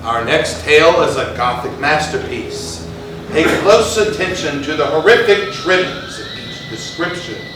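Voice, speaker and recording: A man playing an ominous, grandiose horror storyteller with a florid, dramatic, drawn-out delivery on stage. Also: fairly high pitch, lightly acted. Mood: ominous relish. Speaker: introducer of stage readings. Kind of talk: theatrical gothic horror introduction. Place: live theatre stage, recorded from afar. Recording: echoey distant hall, faint noise.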